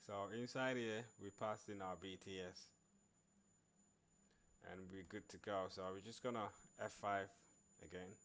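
A man narrating an informal programming screencast in a halting way, repeating words as he works. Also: lowish voice, steady tone, fairly bright tone. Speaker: programming tutorial presenter. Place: screen recording voice-over.

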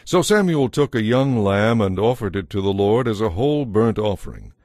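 Lowish-voiced male scripture narrator. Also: sing-song, normal speed, a little mellow, dry recording, studio quality. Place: studio, dry.

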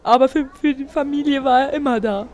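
A woman's voice: sits high, a little fast, a little mellow, close to an everyday voice. A woman voicing a grieving, indignant widowed spruce tree testifying in a comedy courtroom audio play. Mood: wistful.

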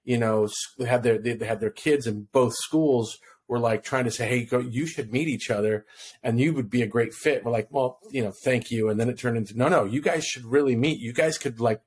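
A man's voice: mid-range voice, normal speed, slightly rough. Hawaii guy in his 50s, laid back, rambling story, acts out what people said, podcast mic. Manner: relaxed, rambling storyteller who acts out quotes. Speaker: guest. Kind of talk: personal storytelling in an interview. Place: home room on video call. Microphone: podcast mic in front of him.

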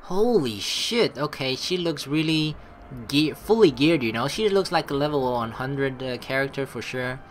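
A woman's voice: very deep voice, expressive, moderate pace, a little hiss or hum. A woman playing through a game, reading the story aloud and making casual, swearing asides. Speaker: let's play streamer. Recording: game music behind.

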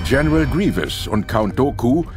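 A man's voice: medium pitch, big pitch swings, normal speed. A man narrating a science fiction audio drama in a dramatic, scripted style. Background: orchestral music.

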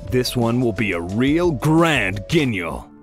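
An actor playing a gleeful, theatrical pyromaniac villain in an audio drama, taunting and grandiose. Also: male voice, mid-range voice, sing-song, slow pace, soft background music.

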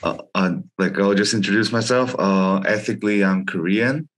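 A young man who grew up in Russia and China, talking as a guest over a headset on a video call. His voice sits low, and he tells his story casually, leaning on "like" with informal phrasing. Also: moderate pace, fairly bright tone. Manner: frequent "like", informal non-native phrasing. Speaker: guest, gym member. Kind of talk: casual interview chat.

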